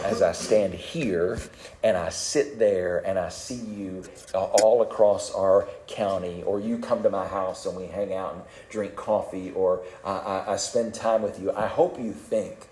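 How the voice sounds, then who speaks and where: A male pastor preaching a sermon in a calm, conversational way, self-deprecating and often repeating words. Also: low-pitched, a little slow, roomy sound, a little hiss or hum. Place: church sanctuary.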